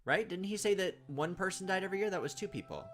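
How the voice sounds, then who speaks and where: A man's voice: high voice, lively intonation, fast talker. A man reading game text aloud as a let's play host, adding informal, playful commentary. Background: game music.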